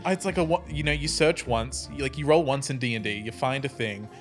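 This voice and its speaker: Mid-pitched man chatting as a game player. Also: a little fast, faint music behind. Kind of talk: casual improvised game chat.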